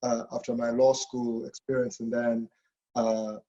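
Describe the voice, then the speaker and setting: A man's voice: medium pitch, flat delivery, a little slow, dark tone, highs cut off. A man from Kenya, a professor talking casually in an online info session, with restarts and a habit of asking "okay?". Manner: chatty, frequent "okay?" and restarts.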